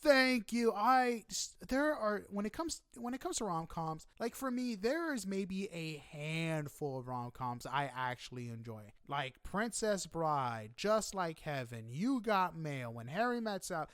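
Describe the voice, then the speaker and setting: A man with a somewhat high-pitched voice hosts a casual interview. He talks in an informal, chatty way with effusive reactions. The sound is dry, with hardly any room in it. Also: very animated, a little slow, hardly any pauses, a bit bright, smooth, no echo. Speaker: podcast interviewer.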